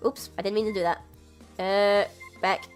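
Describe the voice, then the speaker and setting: A woman's voice: mid-range voice, fairly even intonation, measured pace, clean tone, soft background music. Playful, chatty woman doing a let's play. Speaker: let's play host reading the game's dialogue aloud. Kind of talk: casual gameplay commentary mixed with voiced reading.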